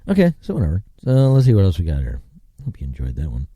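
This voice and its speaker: Sarcastic ranting man with a lively voice. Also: rumbling low, fast talker, breathy edge, no room sound. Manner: sarcastic, rambling, swings between mutters and outbursts. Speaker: show host. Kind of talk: ranting commentary and news reading.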